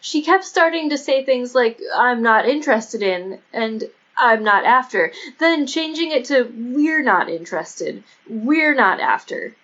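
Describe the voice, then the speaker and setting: A man's voice: very high-pitched, animated, a little slow, few pauses, bright, forceful tone, lo-fi sound, heavily acted. A man voicing a chatty, shrewd young woman who talks with earnest insistence, as dialogue in an audiobook. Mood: insistent, confiding.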